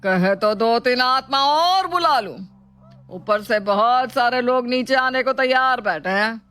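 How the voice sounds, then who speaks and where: A woman voicing the domineering, taunting ghost of an older mother-in-law in a storybook drama, scolding in drawn-out phrases. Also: on the high side, a bit bright, clean tone, voice pushed up. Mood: menacing taunts. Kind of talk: Hindi moral storybook drama.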